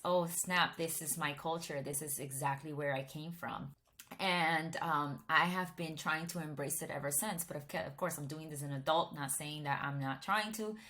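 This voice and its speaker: Low-voiced woman telling her own story. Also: fairly bright tone. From Miami, Florida, United States. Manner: casual and conversational, with frequent restarts. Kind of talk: personal testimony to camera.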